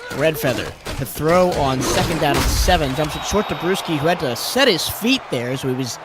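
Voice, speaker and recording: Male play-by-play caller, slightly high voice, hoarse from a cold, climbs loud and high on big plays. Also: expressive, moderate pace, very noisy. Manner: rises sharply in pitch and volume on big plays. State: hoarse, lower voice after a cold. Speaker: play-by-play commentator. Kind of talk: sports play-by-play commentary.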